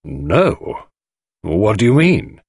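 A man voicing a mournful, indignant widowed husband under suspicion, halting and melodramatic, in an audiobook. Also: on the low side, wildly swooping pitch, breathy edge, no room sound, clean studio sound, exaggerated voice. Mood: shocked. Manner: halting, melodramatic, murmuring or protesting. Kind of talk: audiobook character voice.

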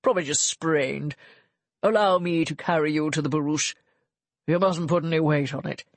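A woman voicing a smooth-talking, flattering Regency gentleman, one of the figures in a read romance story. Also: low-pitched, moderate pace, no room sound. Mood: suave. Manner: suave, fulsome compliments.

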